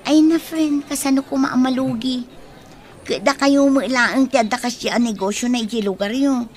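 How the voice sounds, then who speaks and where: A breezy, teasing female friend voiced by an actress with money on her mind. Her voice is fairly high with a Filipino accent, in radio drama style. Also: steady tone, moderate pace, close to an everyday voice.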